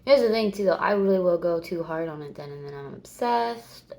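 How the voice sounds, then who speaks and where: Woman in her 30s rambling to the camera, kinda gravelly fry voice, laid back. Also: fairly low pitch, a little fast, darkish tone. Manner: chatty, meandering, laughs and swears casually. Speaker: vlogger. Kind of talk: rambling casual chat.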